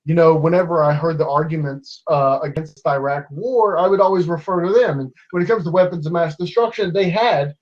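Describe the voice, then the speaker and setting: A man's voice: on the high side, a little fast, few pauses, lo-fi sound. A man in his twenties debating online in a casual way, with many restarts and fillers as he argues. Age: 20s.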